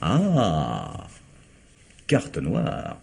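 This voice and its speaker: An actor playing a cynical, mocking vagabond in a vintage radio drama, with a wry, laughing way of talking. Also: male voice, very deep voice, huge pitch swings, very slow, creaky, very noisy, heavily acted. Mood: sardonic. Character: sardonic cynical male vagabond tramp.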